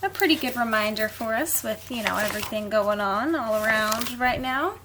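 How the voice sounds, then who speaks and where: A woman's voice: on the high side, normal speed, background noise. A woman reading picture books aloud to children like a teacher, expressively, with simple casual asides. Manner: expressive, simple explaining to young listeners.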